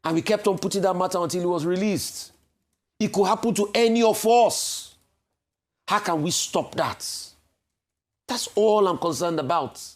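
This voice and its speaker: A Nigerian man giving a security commentary on television, forceful and full of rhetorical questions. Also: high-pitched, normal speed.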